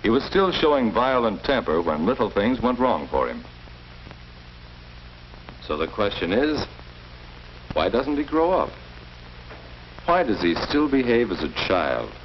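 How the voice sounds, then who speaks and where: An actor playing a calm, firm middle-aged school principal in a 1940s educational film, speaking formally and in an avuncular, measured way. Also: male voice, on the low side. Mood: calm, earnest. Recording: muffled, noisy old 1940s film soundtrack.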